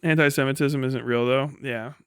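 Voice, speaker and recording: A man's voice: medium pitch, fairly even intonation, normal speed, clean tone, dry recording, studio quality. A man livestreaming casual, opinionated talk, blunt and profane, rambling through explanations that mix formal vocabulary with slang. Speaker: live streamer.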